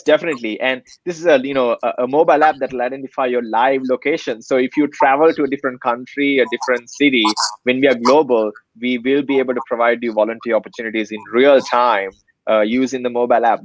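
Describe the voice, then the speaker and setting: A man's voice: medium pitch, no room sound, dull audio. A young man from Sri Lanka, a nonprofit director, earnestly explaining his project in an interview with many fillers. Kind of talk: interview answers.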